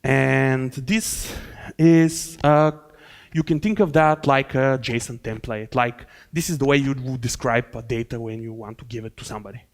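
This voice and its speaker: A man with a Bulgarian accent presents a technical talk at a conference. He explains in a loose, rambling way, full of fillers and restarts. His voice sits at a middle pitch. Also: normal speed. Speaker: conference speaker. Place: conference hall.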